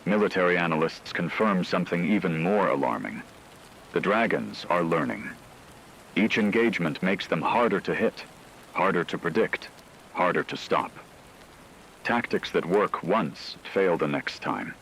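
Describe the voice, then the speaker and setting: A man acts a grave radio news anchor with a deep voice, delivering scripted disaster bulletins. The delivery is solemn, measured and dramatic, with faint noise behind it. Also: expressive, a bit bright, low-quality recording. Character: grave male radio anchor reporting an apocalypse.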